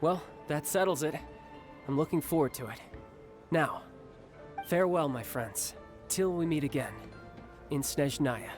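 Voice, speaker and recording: A man's voice: on the high side, a little slow, over music, close to an everyday voice. A man acting a confident, affable young warrior and protective older brother in a video game, fond and casual in manner. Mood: warm, fond.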